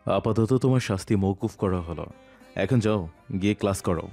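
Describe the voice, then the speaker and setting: A man acts out a young male university teacher with a lowish voice. He is stern and composed, speaking with measured authority in an audio story. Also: warm tone, soft background music, natural voice.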